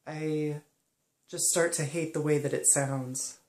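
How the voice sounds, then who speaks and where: A young woman speaking softly and hesitantly in a personal monologue, pausing often. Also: low-pitched, fairly even intonation, roomy sound. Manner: soft-spoken and hesitant, many pauses and ums. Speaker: vlogger.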